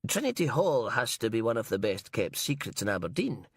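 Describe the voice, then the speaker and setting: A man's voice: on the low side, big pitch swings, a little fast, husky, no echo, clean studio sound, exaggerated voice. A man reading an audiobook, voicing an eager, chatty elderly man with a wheezy edge. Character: chatty elderly male hall caretaker, eager.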